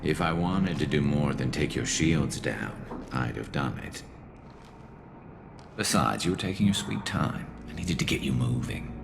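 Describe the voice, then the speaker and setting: A man playing a weary, wry alien vigilante sniper in a video game, speaking in a dry, laconic, gravelly way. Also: animated, measured pace, exaggerated voice. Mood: dry, matter-of-fact. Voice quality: gravelly, low. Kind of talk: video game cutscene acting. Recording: music behind the voice.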